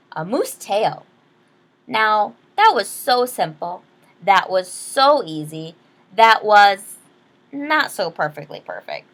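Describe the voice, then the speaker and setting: Animated high-pitched woman reading to children. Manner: expressive, explains words to children. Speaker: read-aloud storyteller. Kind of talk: animated children's picture-book reading with asides to young listeners.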